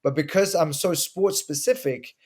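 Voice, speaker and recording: A man's voice: fairly high pitch, moderate pace, darkish tone, breathy edge. A middle-aged man from Sweden, a guest explaining self-defense in a casual interview with earnest stories and frequent restarts. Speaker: guest, martial arts instructor.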